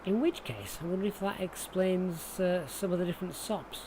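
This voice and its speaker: A man's voice: high voice, moderate pace. A man from Sheffield with a Yorkshire accent, giving casual, chatty live commentary on a flight simulator stream as he thinks aloud and talks to viewers. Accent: Yorkshire English. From Sheffield, England. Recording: clear background noise.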